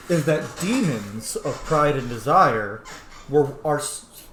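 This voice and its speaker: A man's voice: a little high, echoey room, slight background noise. A man giving casual gameplay commentary, musing aloud and explaining as he plays and roleplays. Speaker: host.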